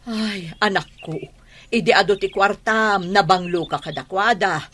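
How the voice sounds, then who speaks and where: A woman playing a hard-pressed, grumbling middle-aged mother in a radio drama, with an Ilocano Filipino accent and a husky edge. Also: mid-range voice, unhurried, a bit bright. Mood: reproachful.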